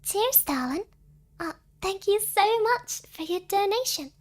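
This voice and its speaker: Tiny, extremely high childlike woman streamer. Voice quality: very small, childlike cutesy voice. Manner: halting, stammering, excitable, switching between languages. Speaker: VTuber streamer thanking donors. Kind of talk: cutesy livestream chat with donation thank-yous.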